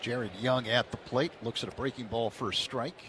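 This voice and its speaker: A man calling baseball play-by-play on the radio in a steady, measured way. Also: mid-range voice, slight background noise. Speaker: play-by-play announcer.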